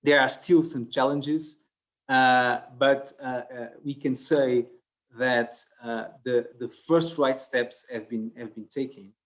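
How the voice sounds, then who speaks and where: A man moderating an online conference panel, talking in a halting way with many fillers and restarts. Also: mid-range voice, steady tone.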